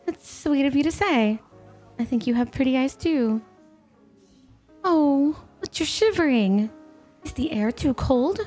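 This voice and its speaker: A woman's voice: sits high, animated, unhurried, pauses often, a little mellow, faint music behind. A woman playing a playful, possessive snow spirit in an ASMR roleplay, coaxing and teasing the listener with coy, intimate talk. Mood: sly, flirtatious. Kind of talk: ASMR roleplay, scripted, intimate one-sided dialogue.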